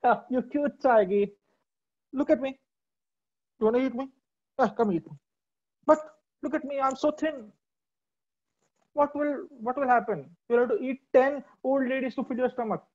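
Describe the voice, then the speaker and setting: A woman's voice: high-pitched, moderate pace, pauses often, darkish tone, highs cut off, voice pushed up. A woman voicing a thin, clever old lady in a children's story, coaxing and pleading with the animals.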